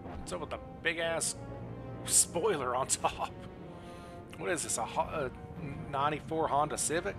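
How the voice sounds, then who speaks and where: A man's voice: on the high side, unhurried, creaky. Young man casually commentating over game music. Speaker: game streamer playing a role-playing game. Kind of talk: casual live reaction commentary. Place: indoor room at a streaming setup. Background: game music and sound under the voice.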